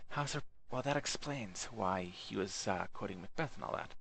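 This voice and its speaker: Low, gravelly-voiced man. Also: expressive, normal speed, a bit bright, a little hiss or hum. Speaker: let's play host. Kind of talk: reading game text aloud with casual asides.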